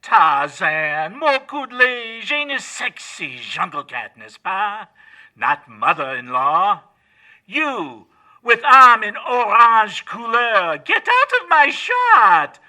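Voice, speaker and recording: Shrill, flamboyant French film director. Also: male voice, big pitch swings, slow, sharp tone, heavily acted. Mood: excited, bossy. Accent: exaggerated French. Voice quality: theatrical, fussy delivery. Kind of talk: comedic audio theatre acting.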